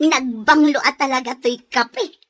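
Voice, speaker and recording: Chatty village gossip, piercing high sharp voice, radio comedy. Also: female voice, expressive, takes it slowly, full character voice. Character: chatty, meddlesome village gossip woman dropping by. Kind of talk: radio comedy drama acting.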